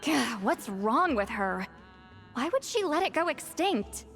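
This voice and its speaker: Haughty high-voiced shaman, animated. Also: measured pace, full character voice. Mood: frustrated. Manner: brusque, condescending. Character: blunt, haughty, irritable female shaman granny with youthful voice. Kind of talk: video game voice acting. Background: background music.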